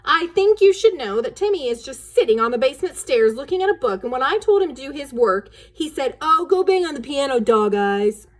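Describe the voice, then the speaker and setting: A woman's voice: high-pitched, sing-song, fairly quick, few pauses, pitched above the performer's own voice. A woman voicing a smug, whiny nine-year-old tattletale girl in a children's storybook. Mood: indignant. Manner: whiny, self-righteous.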